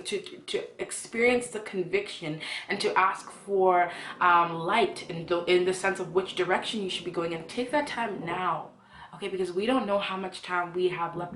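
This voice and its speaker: Young woman from ghana chatting about faith like a vlogger, earnest, kinda low voice, roomy echo. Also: a bit bright. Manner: earnest, conversational, poses questions to listeners. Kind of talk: casual devotional talk to camera. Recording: echoey room.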